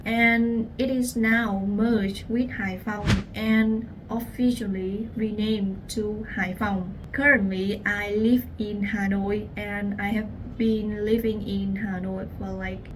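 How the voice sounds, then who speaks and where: A young woman with a Vietnamese accent speaks English at an unhurried, deliberate pace, earnest and addressing the viewer directly. Her voice sits a little away from the microphone. A faint hum of the room stays under it. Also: medium pitch, distant mic, rough recording. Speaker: language teacher. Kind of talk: personal story to camera.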